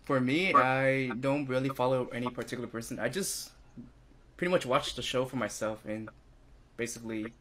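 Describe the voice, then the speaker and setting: Guy hosting a podcast, bright sharp voice, loose chatty style, kinda hesitant. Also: medium pitch, normal speed, a little hiss or hum. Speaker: talk podcast host. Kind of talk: casual unscripted chat.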